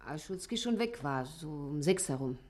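An actress playing a curt, guarded mistress of the house in a radio play, clipped and defensive. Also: female voice, sits low, expressive, moderate pace, noisy background. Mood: evasive. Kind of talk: German radio play acting.

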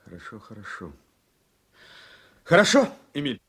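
An actor playing a polite, reserved young lawyer in a radio drama, terse and courteous, with a husky voice. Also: male voice, fairly high pitch, huge pitch swings, measured pace, fairly bright tone, full character voice. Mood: guarded, brief. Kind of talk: Soviet-era radio drama acting.

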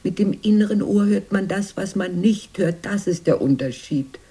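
Woman playing anxious grown fairy-tale sister, very husky, soft dark tone, dreamy insistence, breathy whispers, radio play. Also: mid-range voice, normal speed, lightly acted. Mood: dreamy, insistent. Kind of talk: German radio drama acting.

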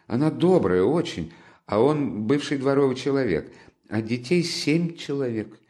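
A man's voice: medium pitch, darkish tone, pitched above the performer's own voice, lightly acted. A man reading an audiobook voices a timid, devout poor young woman who talks in anxious, pleading bursts. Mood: eager. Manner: pleading, anxious, breathless outbursts.

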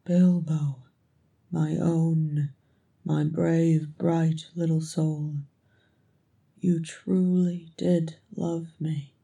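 A woman voicing a brooding, gruff, regal male dwarf king in a story, with a grim, terse and solemn manner. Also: low voice, little pitch movement, takes it slowly, warm tone, no echo. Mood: reverent.

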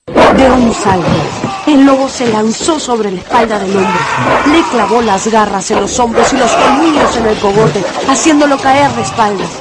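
An actress narrating an adventure novel as a storyteller in a dramatized radio audiobook. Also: female voice, on the high side, normal speed, very noisy, close to an everyday voice. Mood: dramatic, tense.

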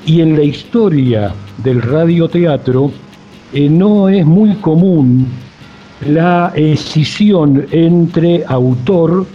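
Slow, deliberate male interviewer. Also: fairly high pitch, soft background music. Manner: deliberate, wordy, pausing. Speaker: radio interviewer. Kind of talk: considered, formal interview questioning. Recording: dull lo-fi audio, faint noise.

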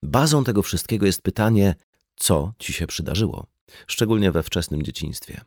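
A man reading an audiobook as scripted narration, in a measured, explanatory manner. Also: sits low, animated, a little mellow, dry recording, studio quality. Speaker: audiobook reader.

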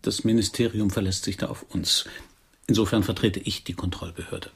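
An actor playing a stiff, guarded upper-class research director and husband in a radio play, formal and officious in manner. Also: male voice, on the low side, fairly even intonation, normal speed, gravelly, slightly rough, exaggerated voice. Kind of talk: radio play acting in dialogue.

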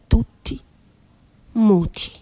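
An actress performing a theatrical monologue as an impassioned, rebellious, poetic woman, declaiming with deliberate, emphatic phrasing. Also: warm tone. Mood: grave. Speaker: stage actress.

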